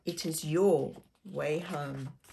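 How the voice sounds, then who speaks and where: A woman's voice: deep voice, extremely slow, creaky, dark tone, breathy edge, voice pushed down, heavily acted. Male storybook rabbit, played by a woman: friendly, easygoing, mild, takes his time.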